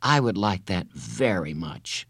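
A man voicing a kind, patient female school counselor in an audiobook, with a gentle, earnest, reassuring manner. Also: low-pitched, sing-song, slow, vocal fry, husky, dry recording, full character voice. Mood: hopeful, kind.

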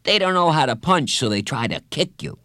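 A man's voice: mid-range voice, sing-song, a little fast, bright, forceful tone, dry recording, clean studio sound, voice pushed up. A man voicing a boastful, defiant schoolboy bully with an emphatic, whiny delivery in a children's audiobook. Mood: taunting.

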